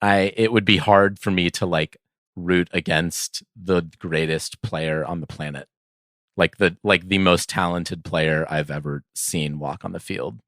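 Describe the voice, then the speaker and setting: A man's voice: deep voice, steady tone, unhurried, fairly bright tone, dry recording, clean studio sound. A man co-hosting a podcast in casual sports chat, easygoing and conciliatory, hedging as he talks. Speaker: podcast co-host.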